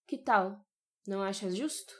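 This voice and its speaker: A woman's voice: mid-range voice, a little slow, natural voice. Woman acting a domineering, taunting mother. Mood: smug, pressing. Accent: Brazilian. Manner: assertive, taunting. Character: domineering, provoking mother, hard bargainer, fiercely protective. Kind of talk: audio novel character acting.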